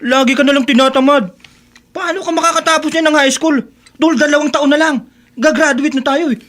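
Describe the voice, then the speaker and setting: An actor with a Filipino accent playing a sensible, nagging teenage schoolboy friend in a radio drama. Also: male voice, very high-pitched, fairly even intonation, a little fast, fairly bright tone. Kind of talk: Tagalog radio drama acting.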